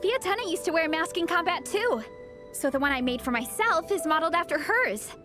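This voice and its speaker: A woman's voice: very high-pitched, animated, brisk. A woman voicing an eager, earnest young girl in a video game, speaking with enthusiasm over music. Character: eager, earnest young girl, devoted storybook fan and club founder. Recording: music behind the voice.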